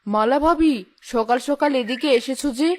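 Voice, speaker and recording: A woman's voice: sits high, normal speed, lightly acted. A woman voicing a gossipy, taunting village wife in a cartoon, with a needling manner. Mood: nosy. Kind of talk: cartoon voice acting.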